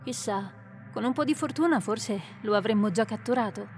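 A woman voicing a calm female companion and healer who leads the group, in an Italian radio drama, speaking in a composed, authoritative way. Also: fairly high pitch, moderate pace, soft background music, close to an everyday voice.

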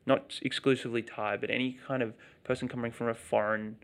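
A man's voice: medium pitch, little pitch movement, a little fast. A chatty, outgoing man with an Australian accent, talking casually as a guest in an interview. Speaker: interview guest, video creator.

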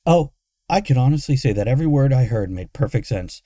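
A man's voice: mid-range voice, lively intonation, fairly quick, dark tone, no room sound, studio quality, natural voice. A man voicing a sarcastic, exasperated adventurer woman who snaps her lines in a fantasy audiobook. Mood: mocking.

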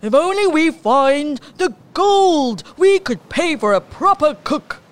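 A woman voicing a bossy male pirate captain who shouts orders in a children's story. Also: high-pitched, expressive, takes it slowly, pitched above the performer's own voice.

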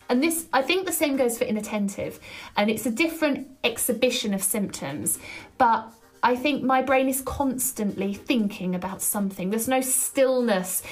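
Woman doing a solo podcast, loud and chatty, kinda high voice, lots of ums. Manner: chatty, energetic, frequent ums. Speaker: podcast host.

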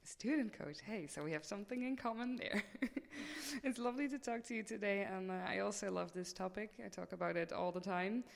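A woman's voice: mid-range voice, a little fast, creaky. A woman hosting a conversational podcast interview as a student life coach. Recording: reverberant hall-like room sound.

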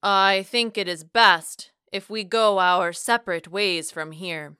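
A woman voicing a reserved, sheepish but earnest man who speaks deliberately and formally in audiobook dialogue. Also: mid-range voice, steady tone, sharp tone, clean tone, no echo, clean studio sound. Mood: reluctant, firm. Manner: deliberate, formal wording without contractions. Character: reserved, sheepish, earnest man pushing away his love.

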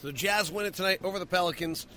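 A male sports host delivers post-game commentary in a bright, forward voice that sits a bit high. There is a light bed of noise behind him as he talks through the analysis. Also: very fast. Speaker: sports podcast host and play-by-play broadcaster.

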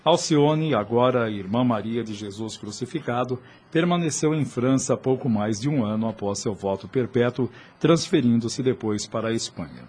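A man narrating a radio drama in a measured, solemn way. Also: mid-range voice, a little mellow, breathy edge.

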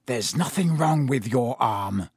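A man's voice: a little high, wildly swooping pitch, moderate pace, husky, no echo, clean studio sound, heavily acted. A man voicing a hot-tempered, resentful schoolboy in an audiobook, terse and snarling. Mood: angry. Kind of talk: audiobook character voice.

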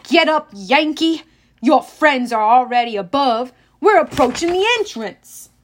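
A woman doing a gravelly ghost sea captain. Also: high-pitched, expressive, slight background noise. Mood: commanding. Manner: barking orders, loud and slow. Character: ghostly old male ship captain, gruff and commanding.

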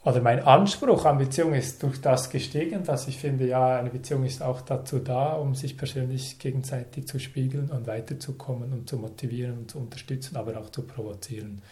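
A man, speaking as an interview guest in a thoughtful, measured way with short phrases and pauses. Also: mid-range voice, steady tone, darkish tone. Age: 30s. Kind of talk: reflective conversational interview.

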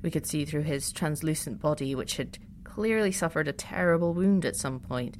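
A woman's voice: deep voice, moderate pace, darkish tone, slight background noise, natural voice. A woman playing a polite female adventurer who tells her own spooky tale in an audio drama. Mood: narrating, curious. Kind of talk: audio drama narration and acting.

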